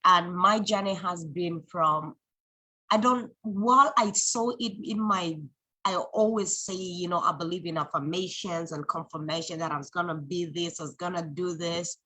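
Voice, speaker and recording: A woman's voice: fairly low pitch, normal speed, sharp tone, rough recording. A woman from Zambia, a guest in an interview, telling her story in an earnest, reflective way with frequent restarts. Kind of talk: conversational interview.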